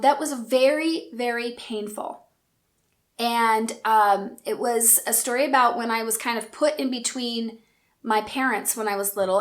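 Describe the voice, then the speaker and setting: A woman in her forties telling a personal story in an earnest, reflective and gentle way. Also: a little high. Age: 40s. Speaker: speaker and coach.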